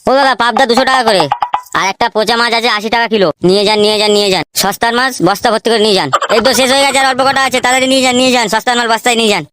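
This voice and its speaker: Fast-talking pushy cartoon fish hawker voiced by a woman. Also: fairly high pitch, a bit bright, a little hiss or hum, lightly acted. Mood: pushy, cheery. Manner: repetitive sales patter, grumbling. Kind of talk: comic cartoon dialogue.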